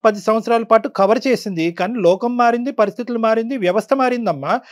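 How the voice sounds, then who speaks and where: A woman giving forceful, exhorting advice as a counsellor on a talk show. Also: a little high, fast. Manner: emphatic, exhorting.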